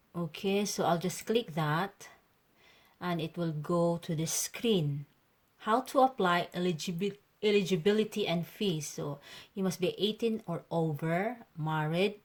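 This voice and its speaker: A woman from the Philippines, walking viewers through a process in a chatty vlog, calling them guys and saying okay a lot. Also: fairly low pitch. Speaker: vlogger. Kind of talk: casual tutorial vlog.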